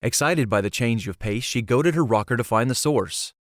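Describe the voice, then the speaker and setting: A man's voice: medium pitch, lively intonation, normal speed, no echo, clean studio sound. A man reading scripted fantasy storybook narration as an audiobook narrator.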